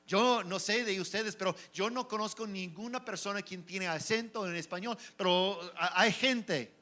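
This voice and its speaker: A man's voice: sits high, moderate pace, pushed and bright. A man preaching a Sunday sermon in Spanish with a non-native accent, asking rhetorical questions and often restarting words. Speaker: pastor.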